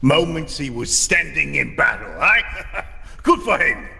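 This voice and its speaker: A man's voice: fairly high pitch, sing-song, takes it slowly, gravelly, bright, forceful tone, in a large hall, exaggerated voice. An actor playing a huge, hearty, grey-bearded northerner in a fantasy audio drama, teasing and chuckling as he talks. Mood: gruff, reassuring. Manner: hearty, teasing, chuckling through his talk. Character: huge boisterous jolly grey-bearded northern mountain of a man.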